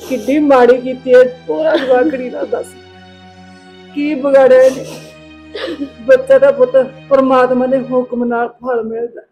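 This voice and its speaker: A woman playing a kindly middle-aged aunty in an emotional drama, coaxing and soothing with gentle insistence. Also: sits high, little pitch movement, normal speed, lo-fi sound. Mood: comforting, indignant. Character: kindly, motherly older aunty comforting a distressed young woman. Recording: music behind the voice.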